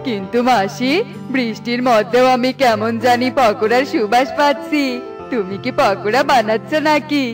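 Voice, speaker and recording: A woman's voice: fairly high pitch, normal speed, music in the background, natural voice. A woman voicing a placating, wheedling male crow in a children's cartoon, with a coaxing manner. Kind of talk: Bengali children's cartoon voice acting.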